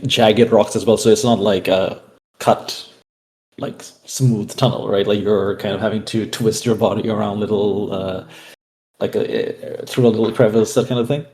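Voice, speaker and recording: Low, creaky-voiced man at a game. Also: dark tone. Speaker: tabletop game player. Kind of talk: casual improvised game talk.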